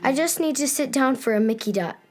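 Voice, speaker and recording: A woman's voice: sits high, animated, brisk. An actress playing a giant, hot-tempered and boastful inflatable summer girl in a children's radio play, with a dramatic, exclaiming delivery. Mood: breezy, woozy.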